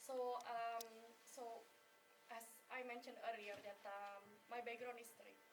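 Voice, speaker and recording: A woman's voice: a little high, level tone, normal speed, background noise. A woman in her forties from Indonesia, speaking earnestly as a trade expert at a networking event, with many fillers and restarts. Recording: distant mic at an event.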